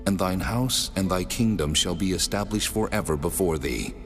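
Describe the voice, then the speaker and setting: An actor playing God as a solemn, commanding deity, speaking in a stately, declamatory way in a dramatized scripture reading. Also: male voice, deep voice, moderate pace. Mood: solemn promise. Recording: long cavernous reverb, music behind. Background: music.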